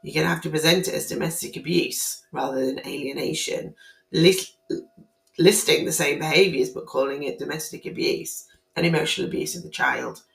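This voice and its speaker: A woman with a hoarse, croaky voice talks to camera in an indignant, emphatic way, circling back and restarting her phrases. Her tone sits a little low and gravelly. The room around her adds a noticeable echo. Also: fairly quick. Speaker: family court commentator. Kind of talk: casual spoken commentary on guidance to camera. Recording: roomy echo.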